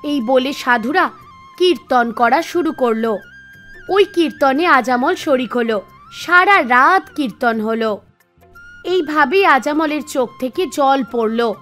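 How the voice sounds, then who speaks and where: High-pitched woman storyteller over music. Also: lively intonation, slow pace, a bit bright. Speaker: narrator. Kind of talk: scripted storytelling narration for an animated devotional tale. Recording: music bed under the voice. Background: background music.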